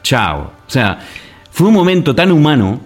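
A man from Argentina talks on a radio show, spinning stories with lively restarts and fillers. His voice sits in an average range, and faint music plays underneath. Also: mid-range voice, measured pace. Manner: animated storyteller with many restarts and fillers. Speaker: radio guest. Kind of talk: casual radio conversation.

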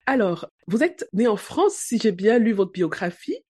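A woman's voice: medium pitch, big pitch swings, normal speed, darkish tone, breathy edge, no room sound, studio quality. A woman interviewer asking polite, formal questions.

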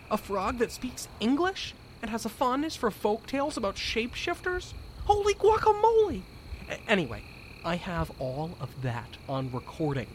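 A man acts out an excitable young-at-heart field researcher in an audio drama. His voice is a little high, chatty and hesitant, with faint crickets around it. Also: a little hiss or hum, poor audio. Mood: excited, then earnest. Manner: rambling, hesitant, exclaiming. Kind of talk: audio drama acting as a recorded field log.

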